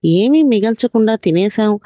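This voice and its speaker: A woman narrator plays a stingy storybook fox who makes an effusive show of being a fine host. The voice is mellow and mid-pitched, on a dry, slightly dull recording. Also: lively intonation, moderate pace, no room sound, close to an everyday voice. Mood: falsely regretful.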